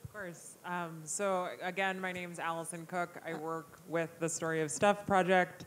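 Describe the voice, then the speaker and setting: A woman's voice: fairly low pitch, fairly even intonation, normal speed, slight background noise. A woman in her thirties giving an explanatory answer as a panelist, speaking into a handheld microphone on an indoor stage. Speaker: panelist, campaign director.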